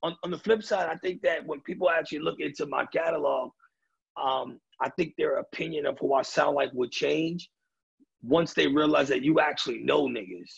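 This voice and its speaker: A man with a deeply gravelly, husky rasp talks loosely and streetwise, stumbling through repeated starts and fillers. His voice sits a bit high for a man. The recording is dry and dull. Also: normal speed, dry recording. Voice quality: raspy. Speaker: rapper and DJ interviewee. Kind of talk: casual interview talk.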